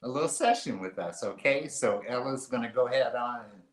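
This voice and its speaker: A man's voice: mid-range voice, brisk. A man co-hosting a casual live-stream show, talking in a loose, rambling way with plenty of fillers and restarts. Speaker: co-host of a live online artist marketplace show. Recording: roomy echo.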